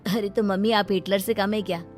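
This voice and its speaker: A woman voicing young married daughters who grumble in a whiny, animated way about lazy husbands, in a cartoon story. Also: medium pitch, a little fast, soft background music, natural voice. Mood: eager, teasing.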